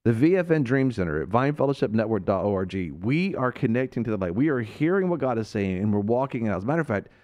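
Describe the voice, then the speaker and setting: Fervent preaching man, lively pitch. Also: fast, few pauses. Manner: fervent, emphatic, swings between loud and hushed. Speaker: radio show host and preacher.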